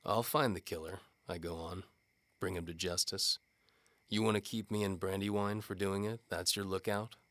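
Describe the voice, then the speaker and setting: A man playing a cynical, world-weary knight-for-hire detective, narrating a hardboiled noir audio drama in a deadpan, wisecracking way. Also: deep voice, moderate pace, no echo. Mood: businesslike, sardonic.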